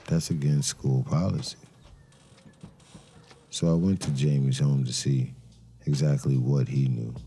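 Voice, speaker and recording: An actor playing a brooding, suspicious detective who narrates a noir crime drama in a grave, deliberate manner. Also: male voice, rumbling low, steady tone, warm tone, slight background noise. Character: brooding male detective, terse, suspicious and methodical. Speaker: narrator and lead character of an audio crime drama.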